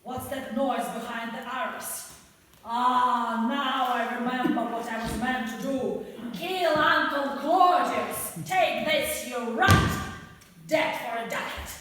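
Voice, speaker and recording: Here is a self-amused, bumbling Hamlet, played by a woman, drawing out every line at a slow amble and chuckling at its own wit. The voice sits fairly high. It comes from the far side of a big echoing theatre hall, with a faint hiss under it. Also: fairly bright tone. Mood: giggly. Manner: laughs at own jokes, slow and drawn out. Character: bumbling comic male prince Hamlet, chuckling and self-satisfied. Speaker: amateur stage actor. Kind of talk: comic radio play staged live. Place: theatre stage. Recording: echoey hall, picked up from afar.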